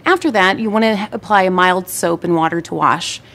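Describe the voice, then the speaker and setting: A woman's voice: fairly low pitch, moderate pace, a bit bright, slight background noise. A woman working as a health program manager, giving safety tips in a plain-spoken, instructive way. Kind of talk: informational safety tips to camera.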